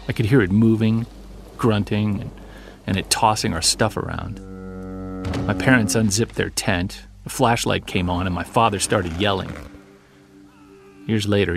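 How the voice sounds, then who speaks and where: An actor playing a grown man haunted by a childhood memory, recounting it haltingly in an audio drama monologue. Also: lowish voice, steady tone, gravelly. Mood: uneasy, reflective. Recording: studio voice over a music bed.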